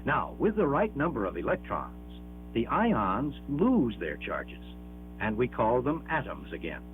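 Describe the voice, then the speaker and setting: A man reads a scripted educational film narration in a measured, emphatic, explanatory manner, on an old 1960s film soundtrack. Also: mid-range voice, huge pitch swings, soft background music. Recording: dull band-limited 1960s 16mm film soundtrack.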